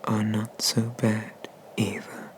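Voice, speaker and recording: Guy doing haughty old dragon god asmr, low n slow, formal, kinda flat, drops into whispers. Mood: imperious, inviting. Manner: slow, deliberate, formal speech with murmured, breathy whispers. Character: ancient, haughty male Eastern dragon god, condescending but merciful. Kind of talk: ASMR roleplay, scripted.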